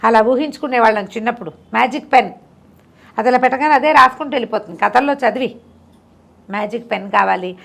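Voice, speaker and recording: A woman's voice: a little high, fast, creaky. A middle-aged woman tells a moral story conversationally, repeating phrases for emphasis and explaining the lesson simply.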